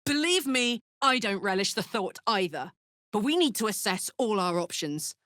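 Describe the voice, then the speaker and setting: A woman's voice: mid-range voice, sing-song, moderate pace, a bit bright, no echo, studio quality. An actress with a British accent playing a brash, big-hearted, battle-hardened warrior woman in a fantasy video game, blunt and earthy in manner. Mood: alert, matter-of-fact.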